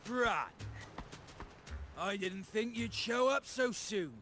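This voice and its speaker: A man's voice: sits high, lively intonation, slow pace, bright, forceful tone, soft background music, heavily acted. A man voicing a cowardly, greedy pirate captain in a video game, theatrical and wheedling, stammering as he bargains. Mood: smug.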